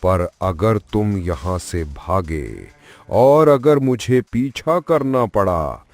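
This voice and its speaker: A man playing a menacing lion in a cartoon story, with a drawn-out, threatening delivery. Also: lowish voice, expressive, a little mellow, no room sound, faint music behind, exaggerated voice. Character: menacing lion, slow-talking predator bargaining for prey.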